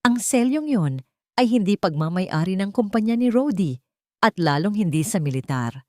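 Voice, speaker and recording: A woman's voice: medium pitch, expressive, normal speed, dry recording, studio quality. A woman reading a scripted dramatic story as its narrator.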